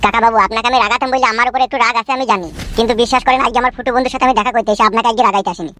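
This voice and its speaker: A woman's voice: a little high, fairly even intonation, very fast, bright, forceful tone. A woman voicing a cocky young male village layabout in a comedy cartoon. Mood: cajoling. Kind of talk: comic cartoon dialogue.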